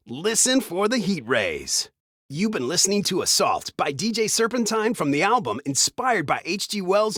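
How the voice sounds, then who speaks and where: High-pitched, animated radio DJ. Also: male voice, dry recording, clean studio sound, exaggerated voice. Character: upbeat male retro synthwave radio DJ. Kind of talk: scripted radio DJ patter.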